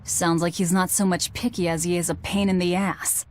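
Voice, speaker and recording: A woman's voice: on the low side, little pitch movement, moderate pace, lightly acted. A woman voicing a cool, sardonic young woman in an English anime game dub, dry and blunt in delivery.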